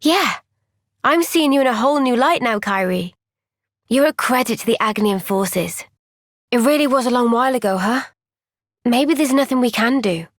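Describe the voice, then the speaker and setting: An actress voicing a gentle, caring young female soldier in a game cutscene, speaking softly and earnestly. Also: a little high, animated, moderate pace, no echo, studio quality, lightly acted. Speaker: game character. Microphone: close studio mic.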